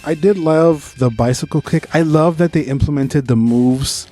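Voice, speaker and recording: A man's voice: a little high, moderate pace, mellow, faint music behind. A man co-hosting a podcast, giving an informal, opinionated movie review in casual conversation and swearing freely.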